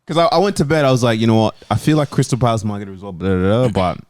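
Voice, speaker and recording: A man's voice: fairly low pitch, racing, a little mellow. A man from Africa chatting casually as a panellist on a podcast, bantering with friends.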